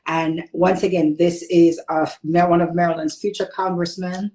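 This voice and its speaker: A woman's voice: on the low side, level tone, moderate pace, warm tone, echoey room. A woman hosting her own interview show, chatty and meandering, often restarting her sentences. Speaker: talk show host. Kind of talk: casual interview chat.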